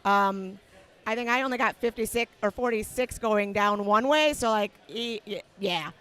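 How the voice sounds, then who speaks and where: A woman with a slightly hoarse voice calls a match for a livestream. She explains strategy in a relaxed, easygoing way, pausing on fillers as she goes. Her voice sits at an ordinary middle pitch. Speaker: match commentator. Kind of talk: casual sports commentary.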